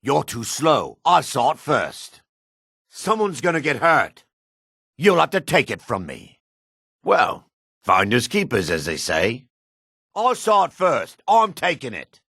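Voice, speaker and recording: A man voicing a gruff, guarded dark elf townsman in a fantasy video game, with a raspy, measured, theatrical delivery. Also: medium pitch, very animated, dry recording, studio quality, heavily acted. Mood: indignant. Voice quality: raspy, gravelly.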